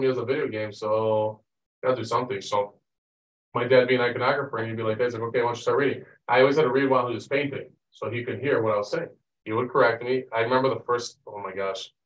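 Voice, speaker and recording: Male study leader, informal, rambling, mid pitch, roomy distant recording. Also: a bit bright. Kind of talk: casual group teaching and chat. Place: meeting room with long conference table.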